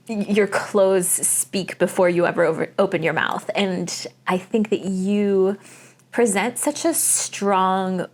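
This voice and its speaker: Woman host, mid-range voice, warm chatty praise, casual interview. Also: mid-range voice. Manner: effusive, complimentary, chatty. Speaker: podcast host, acting coach.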